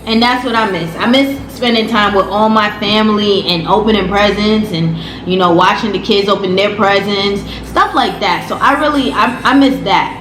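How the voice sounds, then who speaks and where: A woman's voice: mid-range voice, normal speed, roomy sound, rough recording. A woman, chatting casually in a story-time vlog, slangy and repeating her phrases. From Brooklyn, New York City. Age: 30s. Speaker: vlogger.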